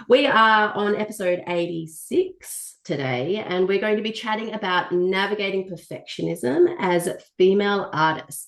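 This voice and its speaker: A woman co-hosting a podcast, her voice husky from a mild cold, chatting casually and correcting herself mid-sentence. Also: on the low side. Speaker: podcast co-host. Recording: roomy echo.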